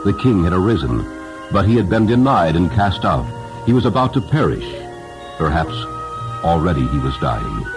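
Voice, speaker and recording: A man narrating a story in a solemn, deliberate and expressive dramatic style. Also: deep voice, over music. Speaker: narrator, audiobook reader.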